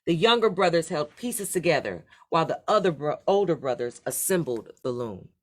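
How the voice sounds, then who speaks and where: A woman reading a children's story aloud in an expressive, deliberate storytelling manner. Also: deep voice. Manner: animated, deliberate read-aloud delivery. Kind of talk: expressive scripted storybook narration.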